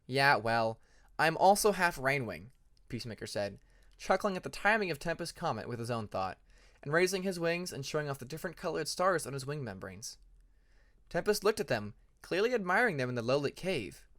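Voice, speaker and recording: A man's voice: on the high side, big pitch swings, a little fast, fairly bright tone. A man narrating fantasy fiction as an audiobook reader.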